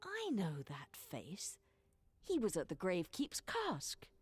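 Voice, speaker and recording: A woman playing a sharp-witted, skeptical adventurer in a fantasy video game, dry and observant. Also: lowish voice, huge pitch swings, slow pace, breathy edge, full character voice.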